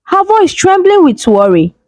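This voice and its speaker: A woman's voice: very high voice, sing-song, a little slow, darkish tone, no echo. A woman narrating a scripted tale as a storyteller, in a solemn, suspenseful, dramatic manner.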